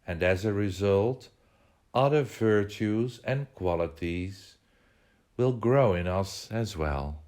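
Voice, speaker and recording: A man with a somewhat low voice leads a guided meditation. His delivery is very slow and measured. He gives instructions in short phrases, pausing between them. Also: animated, darkish tone.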